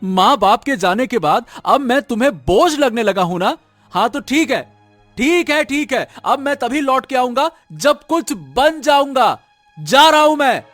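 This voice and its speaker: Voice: high-pitched, lively intonation, a little slow, a bit bright, no room sound, soft background music. A performer voicing a lazy, dreamy young younger brother in a cartoon story, flippant and theatrical. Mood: sulky.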